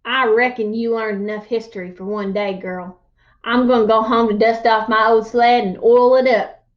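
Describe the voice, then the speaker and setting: A folksy old man played by a woman spins boastful tall tales from a children's storybook. The voice is high and given to grand exaggeration, on a slightly dull, lo-fi recording. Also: steady tone, normal speed, natural voice. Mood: folksy, playful. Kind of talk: children's storybook read-aloud.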